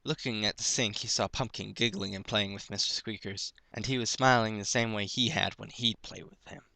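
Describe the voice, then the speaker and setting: A man's voice: on the low side, fairly even intonation, moderate pace, creaky, pushed and bright, dry recording. A man narrating a piece of fan fiction, reading it aloud as a story.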